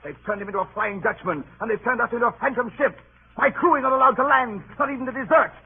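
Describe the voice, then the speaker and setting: Harried sea captain from vintage radio. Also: male voice, very high voice, fast, slightly rough, heavily acted. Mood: desperate, indignant. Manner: stammering, imploring, theatrically anguished. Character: earnest, harried sea captain, desperate and indignant. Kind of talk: 1930s radio drama acting with narration. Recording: scratchy, tinny 1930s radio recording.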